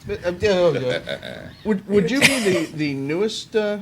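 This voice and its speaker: A man's voice: sits high, wildly swooping pitch, a little slow, creaky, very noisy. A man hosting a convention panel informally, with a joking, self-deprecating manner. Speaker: panel host. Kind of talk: informal welcome and moderating.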